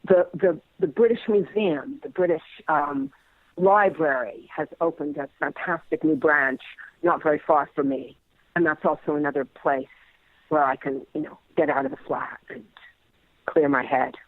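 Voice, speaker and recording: A man's voice: on the high side, moderate pace, dry recording, phone-quality sound. A man speaking reflectively as an interviewed playwright, hesitant and repeating words as he searches for phrasing.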